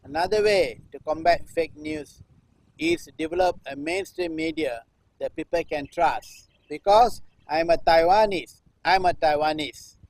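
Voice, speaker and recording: A man delivers a recitation as performance art. His voice sits a little high for a man and is captured dry, with almost no room sound. He speaks in deliberate phrases and returns to refrains like a chant. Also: a little mellow, no echo, low-quality recording. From Singapore. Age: 60s. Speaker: performance artist. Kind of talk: live performance recitation of a political text.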